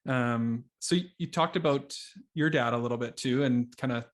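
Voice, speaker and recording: Podcast host: man, average pitch, informal conversational style, halting phrasing. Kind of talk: casual conversational interview.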